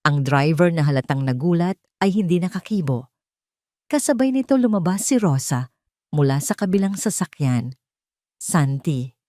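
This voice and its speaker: Story narrator: Filipino woman, low register, dramatic scripted read, dry studio-like sound. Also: animated, moderate pace, warm tone, no room sound.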